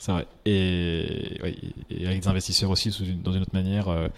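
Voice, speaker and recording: A man hosting a casual podcast interview, asking quick follow-up questions. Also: deep voice, level tone.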